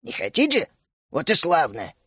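Мужчина-чтец озвучивает ворчливого жилистого старого гнома, который сердито отчитывает собеседников. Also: male voice, a little high, huge pitch swings, normal speed, a bit bright, no room sound, studio quality, exaggerated voice. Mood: scolding. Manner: gruff, scolding. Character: grumpy wiry old male gnome.

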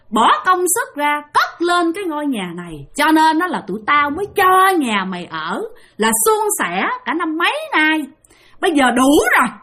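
A woman voicing a menacing old female ghost hag who taunts and threatens in a shrill voice. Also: bright, forceful tone, heavily acted.

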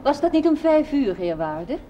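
A woman's voice: sits high, sing-song, moderate pace, dark tone, lo-fi sound, faint music behind. A woman playing a prim, formal, curt housekeeper in a television drama. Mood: prim, correcting. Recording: noisy old television soundtrack.

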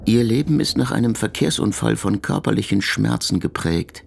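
An actor playing an aging, wary, dignified exiled Russian revolutionary in a radio drama, speaking in measured, formal phrases with a creaky voice. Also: male voice, fairly low pitch, animated, slight background noise. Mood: reflective.

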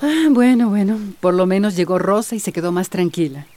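A woman's voice: mid-range voice, lively intonation, fairly quick, dark tone, faint music behind, close to an everyday voice. Radio soap role: woman plays practical wife at home, patient, placating, mid-range voice. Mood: weary, placating. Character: patient, practical housewife running a household. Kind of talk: radio soap opera acting.

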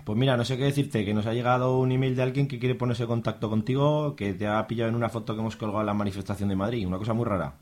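A man's voice: medium pitch, steady tone, rapid-fire, few pauses, a little mellow, close to an everyday voice. A man with an accent from Spain playing a wary, alarmist friend in an amateur radio serial, slangy and blunt. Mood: worried. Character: wary, alarmist male friend on a phone call.

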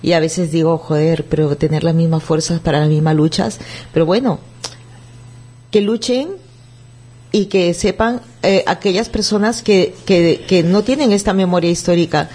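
Woman, blunt, playful, low, husky. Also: steady tone, normal speed, darkish tone. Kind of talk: casual radio chat.

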